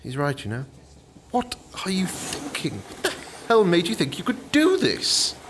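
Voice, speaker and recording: An actor playing a nervous, chatty and polite would-be novelist in a radio play, hesitant and apologetic. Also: male voice, high voice, wildly swooping pitch, measured pace, noisy background, heavily acted. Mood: uneasy. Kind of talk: naturalistic radio play acting.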